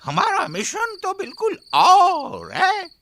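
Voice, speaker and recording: A woman's voice: extremely high pitch, huge pitch swings, slow pace, fairly bright tone, slight background noise, full character voice. A woman playing an oily, mocking old moneylender father in a radio drama, with sing-song wheedling and chuckles. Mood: scornful, scheming.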